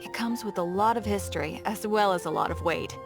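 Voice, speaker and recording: A woman's voice: medium pitch, fairly quick, close to an everyday voice. An actress voicing an eager, polite and chatty female shopkeeper in a video game. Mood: sales pitch. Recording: prominent game music behind.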